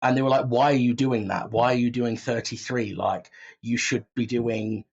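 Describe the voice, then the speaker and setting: A man talking casually as an interview guest, telling anecdotes and acting out what people said in an animated way. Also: medium pitch, normal speed, slightly rough, lo-fi sound. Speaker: podcast guest, audio drama producer.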